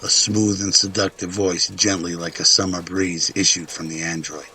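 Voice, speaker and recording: Deep, bright-edged male drama narrator. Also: level tone, normal speed, low-quality recording.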